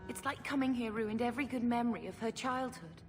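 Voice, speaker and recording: An actress playing an earnest young sister. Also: female voice, fairly high pitch, a little fast, close to an everyday voice. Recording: game audio with music, reverb.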